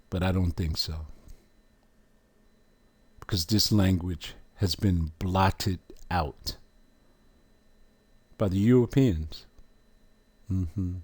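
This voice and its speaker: A man with a fairly low voice gives a religious lesson at a very slow, deliberate pace. He stresses single words and leaves long pauses between phrases. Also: darkish tone. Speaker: religious teacher.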